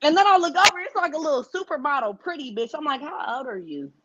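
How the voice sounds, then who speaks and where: Animated high-voiced woman telling a story. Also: quick pace, muffled recording, noisy background. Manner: animated, profane, acts out quoted voices. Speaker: guest. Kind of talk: casual storytelling chat.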